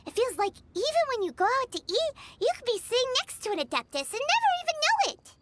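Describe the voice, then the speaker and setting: A woman voicing a tiny, childlike fairy companion in a video game, inquisitive and cheeky, with a squeaky voice and exclamatory delivery. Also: wildly swooping pitch, quick pace, a bit bright, slight background noise, exaggerated voice. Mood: curious, puzzled. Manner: exclamatory, questioning, refers to herself by name. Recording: playful music under the voice. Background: tinkling playful music.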